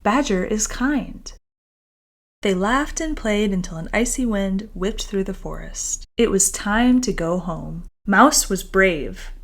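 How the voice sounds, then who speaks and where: A woman children's author reading their own picture book aloud and chatting to young listeners in an expressive, careful storytelling manner. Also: medium pitch, measured pace, hardly any pauses.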